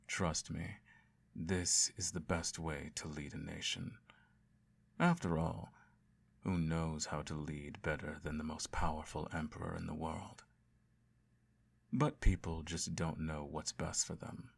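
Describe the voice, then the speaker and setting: A man acts out an evil emperor in a bedtime audio roleplay. His voice sits very low, with a heavy gravelly creak. He tells his tale to a child with measured grandeur, self-righteous and doting, yet quietly menacing. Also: exaggerated voice. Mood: smugly condescending.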